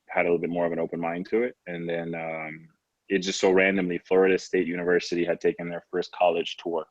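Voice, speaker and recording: A man in his late twenties from Trinidad and Tobago, talking conversationally and reflectively as an interview guest, often saying "you know". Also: sits low. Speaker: podcast guest, professional basketball player. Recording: roomy, slightly dull lo-fi audio.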